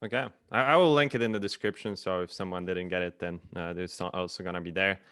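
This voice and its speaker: A man's voice: deep voice, fairly even intonation, fast talker, fairly bright tone, clear, resonant tone. A man hosting a podcast, asking questions hesitantly with lots of fillers and stumbles. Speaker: podcast host. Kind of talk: casual interview questions.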